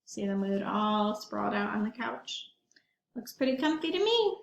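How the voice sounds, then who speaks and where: A woman reading a picture book aloud to young children in an expressive, emphatic way, pausing to put questions to her listeners. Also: mid-range voice, vocal fry, reverberant.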